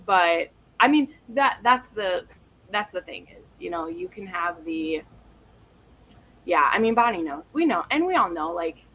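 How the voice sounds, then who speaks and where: A woman chatting casually and excitedly on a livestream, with slangy, sweary, gushing talk. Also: fairly low pitch, a little slow, rough recording. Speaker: book livestream co-host. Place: live online video chat. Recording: faint background noise.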